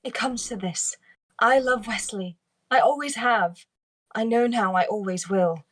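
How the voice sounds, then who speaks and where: A woman's voice: on the high side, measured pace. A woman voicing an earnest, lovelorn young princess in an amateur table read, speaking in plain declarations. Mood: earnest resolve.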